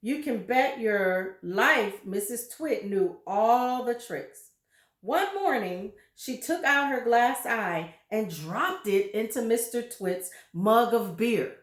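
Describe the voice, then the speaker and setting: A woman reading a children's story aloud with deliberate, dramatic emphasis and brief asides to her listeners. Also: mid-range voice, echoey room.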